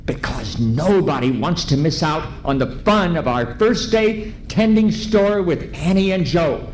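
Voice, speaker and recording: An actor playing an eager, friendly 1930s radio announcer pitching to children, with emphatic, coaxing, careful delivery. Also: male voice. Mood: urgent, cheery. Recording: echoey live ballroom stage, faint noise.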